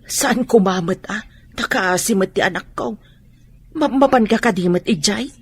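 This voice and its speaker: A woman's voice: mid-range voice, moderate pace, husky, natural voice. A woman with an Ilocano accent playing a worried, scolding middle-aged rural mother in a radio drama.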